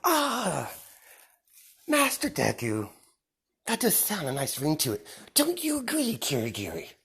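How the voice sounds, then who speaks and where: A woman voicing a gleeful, sadistic young male villain in a fan story, with a mocking, drawn-out, often whispered delivery. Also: lowish voice, huge pitch swings, fairly bright tone, slightly rough, pitched above the performer's own voice, exaggerated voice. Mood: mocking menace.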